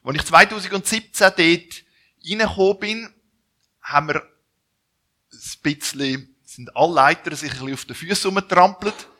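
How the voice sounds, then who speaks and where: A Zurich-accented man in his forties introduces himself to a church audience, often restarting and correcting his sentences as he goes. His voice sits a little high and rings bright and forward. He speaks informally, in a personal and unpolished way. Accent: Zurich Swiss German. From Zurich, Switzerland. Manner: halting, with restarts and self-corrections. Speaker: missionary speaker.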